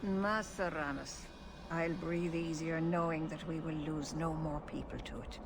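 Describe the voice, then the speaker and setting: A woman's voice: deep voice, steady tone, a little slow. A woman playing a grave, protective older elf clan leader in a video game cutscene, speaking solemnly and with measure. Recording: noisy game ambience behind.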